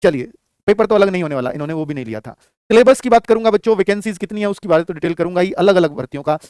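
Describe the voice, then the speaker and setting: A high-pitched man teaches like a coaching instructor. He talks fast in a dry, close recording, addressing students familiarly and posing questions that he answers himself. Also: animated, darkish tone, smooth, no echo. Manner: explanatory, addresses listeners familiarly, asks and answers own questions. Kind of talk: lesson on job vacancies addressed to students.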